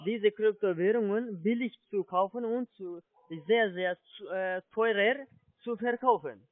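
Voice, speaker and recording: A man with a foreign accent, not a native German speaker, giving a halting phone sales pitch and often checking he is followed. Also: no room sound. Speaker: telephone sales agent, scammer. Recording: muffled low-fidelity phone line.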